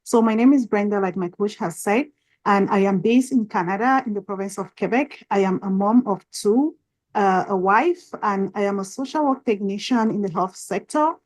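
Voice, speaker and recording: A woman's voice: mid-range voice, normal speed. A woman from Cameroon co-hosting a business workshop and introducing herself with some hesitant "uh" fillers. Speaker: workshop co-host.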